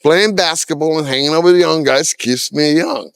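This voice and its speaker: A man's voice: fairly high pitch, normal speed, gravelly, a bit bright, smooth, no room sound, clean studio sound. A man in his sixties, originally from Trinidad and Tobago, giving candid, opinionated answers in a casual street interview, with lots of "you know.". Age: 60s.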